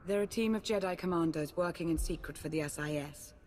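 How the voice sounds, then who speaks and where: An actress playing a cool, shrewd, formal intelligence minister in a game cutscene, speaking with measured poise. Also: female voice, a little mellow, close to an everyday voice. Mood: cool, composed. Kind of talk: dramatic game cutscene acting. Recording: long reverb, faint rumbling noise.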